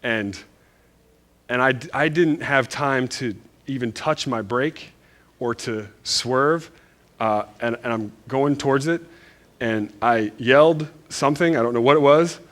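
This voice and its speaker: A man from Northern Virginia preaching in a church, telling a story in a loose, animated, self-deprecating way with lots of restarts. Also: mid-range voice, unhurried. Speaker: pastor. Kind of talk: casual storytelling sermon.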